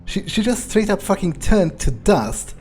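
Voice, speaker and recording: A man's voice: sits high, big pitch swings, unhurried, a little mellow, soft background music. A man improvising in character as a cocky, callous vampire while playing a video game, drawling and scornful. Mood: mocking glee. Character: cocky, callous male vampire roleplayed by a gamer. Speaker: gamer roleplaying in a playthrough.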